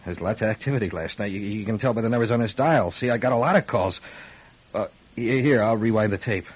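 An actor playing a nervous, easily startled photographer in a radio drama, halting and stammering as he talks. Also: lowish voice, slightly rough. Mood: uneasy. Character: nervous, guilt-haunted male studio photographer, easily startled. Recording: dull band-limited radio drama.

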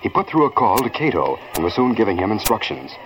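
A man's voice: lowish voice, big pitch swings, fast, background noise. A man narrating a 1940s radio drama in a scripted storytelling style. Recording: muffled old radio with loud music.

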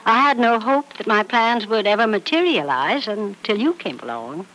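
Wealthy elderly matriarch, frank and grandly fond, lively swooping delivery, old radio soap recording. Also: female voice, medium pitch, normal speed, poor audio. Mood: emphatic praise. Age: older. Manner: emphatic, theatrical, grandly affectionate. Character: elderly wealthy mother, frank, doting, imperious. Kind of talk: 1940s radio soap opera acting.

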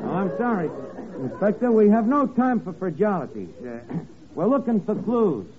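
A tinny, noisy old broadcast with a faint audience carries an earnest detective narrator. He is high-pitched and emphatic, telling the case in mock-serious radio comedy style. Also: very animated, normal speed, crowd noise. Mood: dramatic narrating. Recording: crackly old radio broadcast, narrow band, studio audience.

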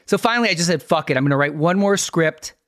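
Male American podcast host, middle-aged, wry and self-deprecating, higher voice with a dry, roomless sound. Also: lively intonation, fast talker, fairly bright tone, dry recording. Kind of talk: conversational storytelling.

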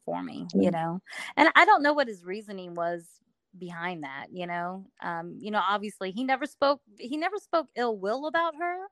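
A woman's voice: mid-range voice, animated, normal speed, a bit bright, dry recording, studio quality. A middle-aged woman from Alabama, telling her story as a guest in a casual interview, chatty with many fillers.

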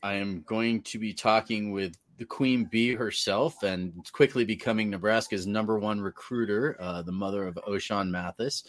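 A man in his late forties co-hosting a sports podcast, rambling along with plenty of ums. His voice sits a little low and comes across dry and close, with no room sound. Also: moderate pace, hardly any pauses, no room sound. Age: 40s. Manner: loose, rambling with many ums. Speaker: podcast co-host. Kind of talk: casual sports podcast chat.

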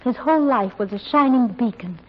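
An actress playing a prim, earnest young daughter in old-time radio style, speaking in a proper, preachy, formal manner. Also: female voice, fairly high pitch, animated, a little fast, lo-fi sound. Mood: earnest preaching. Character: prim, earnest, pious-sounding daughter, primly self-controlled.

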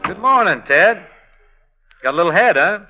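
A folksy easygoing innkeeper. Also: male voice, high-pitched, normal speed. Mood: cheerful. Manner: folksy storyteller, relaxed and jokey. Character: easygoing male innkeeper and singer. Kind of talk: 1940s radio musical. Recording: dull lo-fi 1940s radio recording with orchestra.

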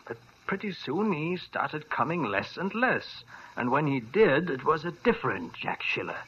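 A man's voice: a little high, sing-song, normal speed, a bit bright, slight background noise. An actor playing a worried, earnest older male doctor who talks hesitantly, in 1940s radio style. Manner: earnest and hesitant, full of uh's. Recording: dull old radio recording.

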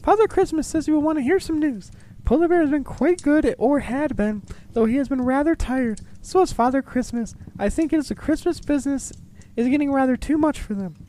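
Man putting on a high-voiced little Christmas elf secretary. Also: fairly quick, warm tone. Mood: earnest, newsy.

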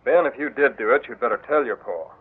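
A sly clerk who talks in a smooth, insinuating way, as a man acts him out. His voice sits at a middle pitch and comes through a thin, tinny old radio broadcast. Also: quick pace, close to an everyday voice. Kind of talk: 1940s radio acting.

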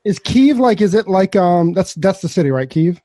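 A man's voice: high-pitched, expressive, normal speed, mellow, dry recording. A man co-hosting a podcast, laid-back and joking, with lots of fillers. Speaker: podcast co-host. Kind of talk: casual podcast chat.

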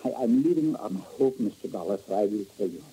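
Cowering radio-drama informant, husky and dark, halting pleas. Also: male voice, on the low side, animated, low-quality recording. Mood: pleading. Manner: pleading, halting, stammering. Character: frightened shifty male informant begging for mercy. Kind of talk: 1950s radio drama acting.

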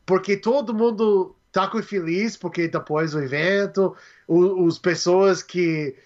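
Um homem do Canadá, falante nativo de inglês com sotaque canadense, conversa num português hesitante, procurando as palavras, como convidado de uma entrevista. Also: male voice, high voice, darkish tone, muffled recording. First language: English. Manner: halting, searching for words in a second language. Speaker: guest. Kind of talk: casual interview chat.

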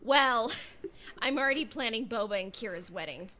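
A woman's voice: on the high side, expressive, moderate pace, crowd noise. A woman co-hosting a podcast in casual, playful chat. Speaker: podcast co-host.

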